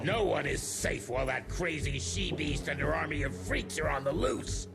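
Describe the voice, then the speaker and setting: An actor playing a crude, imperious older government official in a video game, pompous and sardonic in manner. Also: male voice, on the high side, lively intonation, moderate pace, vocal fry, over music. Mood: irritated. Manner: pompous, foul-mouthed, sardonic. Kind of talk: dramatic video game acting.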